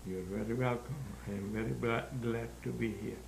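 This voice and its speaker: Elderly man, Hungarian accent, gravelly, deliberate non-native phrasing, echoey room with faint noise. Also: medium pitch, fairly even intonation. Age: 90s. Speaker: interview guest, sculptor. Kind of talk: reflective interview answers about own life. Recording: roomy echo, faint background noise.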